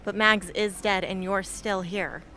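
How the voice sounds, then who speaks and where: A woman's voice: mid-range voice, fairly even intonation, unhurried, pushed and bright, poor audio. Over faint background noise, an actress plays a tired young woman fighter. She is guarded and doubts herself, with subdued, short lines and a mid-range voice. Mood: weary, guarded. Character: weary, guarded, self-doubting young heroine. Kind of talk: amateur radio drama acting.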